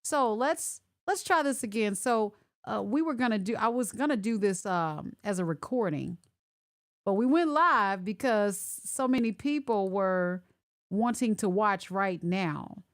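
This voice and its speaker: A woman's voice: medium pitch, animated, measured pace. A woman hosting a live online talk show over a video call, chatty and repeating herself as she checks whether her guests can hear her. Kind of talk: casual live-stream chat.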